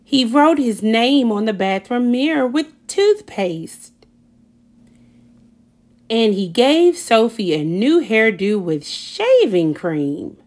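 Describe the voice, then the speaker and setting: A woman storyteller reads a picture book aloud for children. Her voice is fairly high and very animated, and she takes her time, stressing key words dramatically. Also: slow. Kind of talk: expressive picture book narration.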